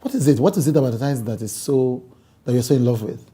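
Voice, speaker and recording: Brief: male host, warm dark tone, slightly husky, quick to affirm. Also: medium pitch, lively intonation. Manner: frequent short affirmations, curious questions. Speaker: podcast host. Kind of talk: interview conversation.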